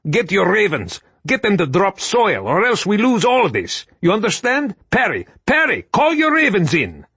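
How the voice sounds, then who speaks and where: A male narrator voicing an urgent male soldier who shouts orders over a firefight. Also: high voice, wildly swooping pitch, normal speed, husky, dry recording, pitched above the performer's own voice, full character voice. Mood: urgent shouting. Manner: bellowing orders over the din.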